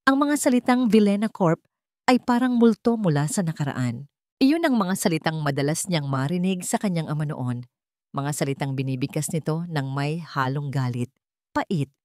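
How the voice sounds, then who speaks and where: A woman narrating a scripted drama story in a measured, dramatic storytelling manner. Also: sits low, darkish tone, no echo, clean studio sound.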